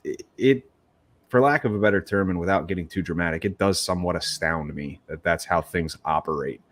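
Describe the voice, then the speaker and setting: A man's voice: low-pitched, a little fast. A man co-hosting a sports podcast, talking in a rambling, exasperated, emphatic way. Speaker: podcast co-host. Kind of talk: casual sports chat.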